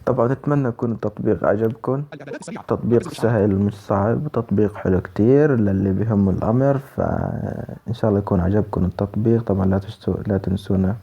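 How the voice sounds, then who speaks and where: A man's voice: lowish voice, quick pace, vocal fry, breathy edge, dull audio. A man with a Levantine Arabic accent giving a casual spoken app walkthrough, repeating instructions step by step. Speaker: tutorial presenter.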